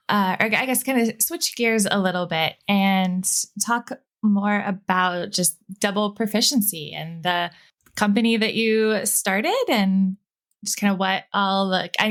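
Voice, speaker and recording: A woman's voice: medium pitch, a bit bright. A woman hosting a podcast interview, upbeat, with brief reactions and loosely phrased questions. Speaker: podcast host. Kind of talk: casual interview.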